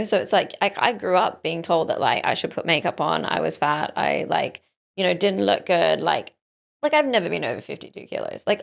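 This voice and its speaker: A woman's voice: lowish voice, creaky. An Australian woman in her thirties chatting candidly and informally as an interview guest, with many a "like" and "you know". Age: 30s. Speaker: podcast guest, startup founder. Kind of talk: casual interview chat.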